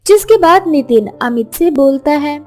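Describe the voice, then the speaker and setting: A woman narrator reads a scripted moral story. Her voice is clearly high-pitched, and faint music plays behind her. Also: expressive, normal speed, darkish tone.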